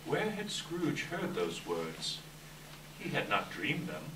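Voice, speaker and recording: Echoey noisy hall recording, low voiced guy doing measured dramatic story narration. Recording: distant echoey hall, clear background noise.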